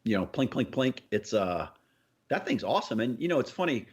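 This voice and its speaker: A man's voice: medium pitch, very animated, a little fast, breathy edge, lo-fi sound. A middle-aged man with an American accent chats casually as a podcast co-host, slangy, joking and laid-back. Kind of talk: casual chat.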